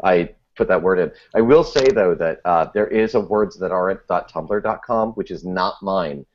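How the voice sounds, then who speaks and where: A man with a low voice speaks as a guest in a casual interview. He is wry and self-deprecating, rambling through false starts. The recording is somewhat dull and lo-fi. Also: moderate pace, a little hiss or hum. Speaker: podcast guest. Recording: dull, muffled lo-fi audio.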